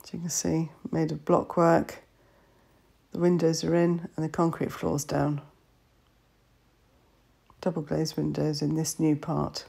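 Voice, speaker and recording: A woman's voice: sits low, completely flat delivery, measured pace, gravelly, pauses often, warm tone, breathy edge. A woman estate agent narrating a walkthrough of a house, listing its features matter-of-factly in short phrases. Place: inside an old house.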